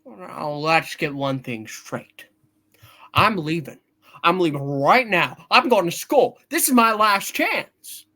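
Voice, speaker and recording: A man acting an earnest, frustrated, excitable young man in a hammed-up play reading, stammering at times. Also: fairly high pitch, takes it slowly, exaggerated voice. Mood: defiant. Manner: hammy, stammering.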